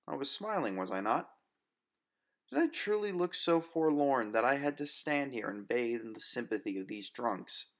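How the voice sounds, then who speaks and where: A man narrating first-person fiction as an audiobook reader, with a measured, wistful, literary delivery. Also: fairly low pitch, fairly bright tone.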